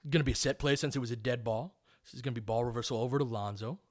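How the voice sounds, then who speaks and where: Male commentator, mid-pitched voice, live basketball call, informal fan tone, detailed tactical breakdowns. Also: fairly even intonation, very fast. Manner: informal, partisan fan asides. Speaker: play-by-play commentator. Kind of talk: live basketball play-by-play with coaching analysis.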